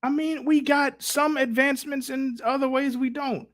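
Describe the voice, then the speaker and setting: A man's voice: very high voice, steady tone, normal speed, a bit bright, dry recording, studio quality. An American man hosting a casual live stream, chatting in an effusive, slangy, profane way.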